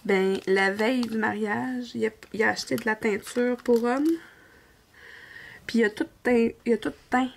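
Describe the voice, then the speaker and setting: This woman speaks with a Québécois French accent, in a husky, gravelly voice that sits a little high. She rambles and corrects herself, and her sentences often fade into a breathy near whisper. It sounds like casual crafting-vlog chat aimed at her viewers. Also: fairly even intonation, moderate pace. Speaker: diamond painting vlogger.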